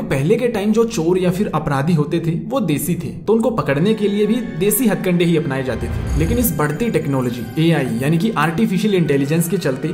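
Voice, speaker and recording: An explainer host narrates from a script with a conversational, friendly form of address, dropping in English words. The voice sits at a middle pitch. It carries a roomy echo, and music plays quietly behind it. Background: background music.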